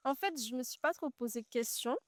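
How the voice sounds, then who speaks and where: Relaxed young woman, smooth voice. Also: on the high side, fairly quick, dry recording. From French Guiana. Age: 20s. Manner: relaxed, hesitant, many fillers. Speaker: guest, medical student. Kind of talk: casual interview. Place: outdoors on a forest trail.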